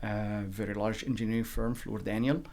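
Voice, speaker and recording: Low gravelly man from Egypt. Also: steady tone, a little mellow. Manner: measured, reflective, frequent uh fillers. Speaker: guest. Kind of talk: interview.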